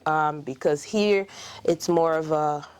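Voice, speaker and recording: A woman's voice: deep voice, slow pace, darkish tone, clear, resonant tone, no room sound. A middle-aged Haitian woman whose first language is French, speaking in a Haitian French accent as a dentist and clinic owner in a casual interview, telling her story with many fillers and restarts.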